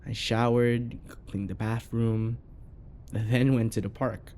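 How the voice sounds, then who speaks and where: A man acts out a possessive yandere boyfriend in an intimate ASMR roleplay. He speaks very slowly, with sighs and pauses and a frequent vocal fry, coaxing sweetly while jealousy and menace sit underneath. A faint rumble of noise runs behind the voice. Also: fairly even intonation, a little mellow. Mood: saccharine, probing. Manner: coaxing, passive-aggressive, full of pet names, sighs and pauses.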